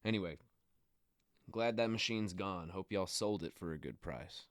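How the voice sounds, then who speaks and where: Man playing a low-voiced, weary cowboy. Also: dry recording, clean studio sound, lightly acted. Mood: subdued. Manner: halting, plain-spoken. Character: weary, injured male rodeo rider, rueful and guarded. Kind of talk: naturalistic radio drama acting.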